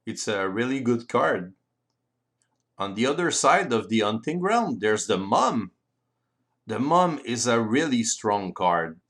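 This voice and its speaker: Male tutorial narrator, French accent, slow and careful non-native delivery with a lively, swooping pitch, presenting tabletop game rules. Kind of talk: explanatory tutorial voice-over.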